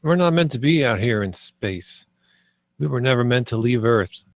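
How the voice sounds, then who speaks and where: A man's voice: mid-range voice, expressive, normal speed, no room sound, clean studio sound, lightly acted. A man playing a wry, self-interested space mercenary in a screenplay table read. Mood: wry, reflective.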